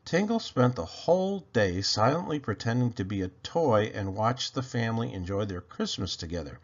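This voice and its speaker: A man with a gravelly, husky voice narrating a children's story in an expressive read-aloud style. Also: fairly low pitch, moderate pace, lo-fi sound. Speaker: storybook reader.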